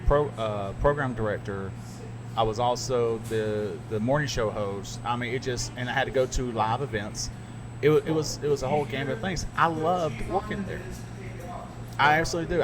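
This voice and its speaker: A man's voice: mid-range voice, background noise. Candid talkative radio man host. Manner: candid, emphatic, storytelling with restarts. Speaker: podcast host and radio broadcaster. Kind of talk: casual chat. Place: radio studio.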